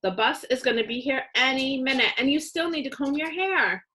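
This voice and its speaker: A woman playing a caring, practical mother of young children in a storybook, coaxing and gently firm. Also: high-pitched, normal speed, pitched above the performer's own voice.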